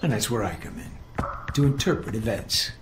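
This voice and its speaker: An actor playing a cold, condescending older intelligence handler in a video game, speaking in clipped, precise, superior phrases. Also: male voice, lowish voice, animated, normal speed, natural voice. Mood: cool, lecturing. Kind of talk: video game dialogue acting. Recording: roomy echo with music underneath.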